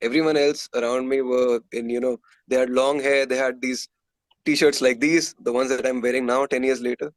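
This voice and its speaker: A man's voice: mid-range voice, fairly even intonation, moderate pace, no echo, poor audio. A man from India with an Indian accent, telling stories casually and candidly as a musician being interviewed.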